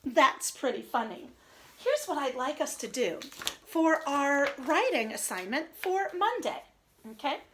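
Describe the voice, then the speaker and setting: Female early-years teacher, high-pitched and very animated, reading aloud and explaining simply. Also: measured pace, slight background noise. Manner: expressive, clearly explaining. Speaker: teacher of young children. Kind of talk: read-aloud storytelling and simple instructions for children.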